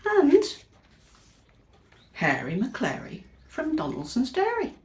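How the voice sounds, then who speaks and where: Very expressive British woman, slow storytime reading. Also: high-pitched. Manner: expressive, asks the young listeners questions. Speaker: teacher reading a picture book to young children. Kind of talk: animated children's storytime read-aloud with chatty asides. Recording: echoey, reverberant room.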